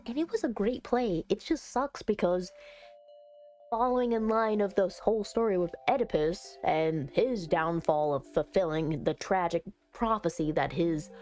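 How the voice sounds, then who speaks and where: A woman chatting expressively and thinking out loud as she plays through a game and reads its text. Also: medium pitch. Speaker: game streamer. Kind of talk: casual let's-play commentary, reading game text aloud. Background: background music.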